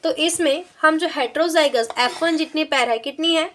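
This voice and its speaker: A woman's voice: high voice, moderate pace, fairly bright tone, slight background noise. A woman giving a practical demonstration lesson as a biology teacher, explaining in an instructional way and repeating steps for students.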